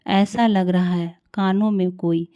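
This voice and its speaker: A woman leading a guided meditation in a calm, soothing, unhurried way with long pauses. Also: fairly low pitch, smooth, no echo, clean studio sound.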